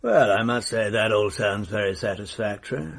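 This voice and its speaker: A dithering police superintendent. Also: male voice, on the low side, moderate pace, vocal fry. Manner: dithering, full of hesitations. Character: fussy, awkward male police superintendent. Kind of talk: radio drama acting.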